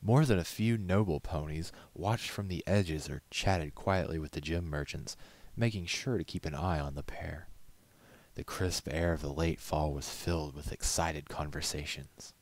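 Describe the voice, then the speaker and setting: A man's voice: extremely low pitch, measured pace, dry recording. A man reading a story aloud as scripted storybook narration. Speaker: amateur story reader.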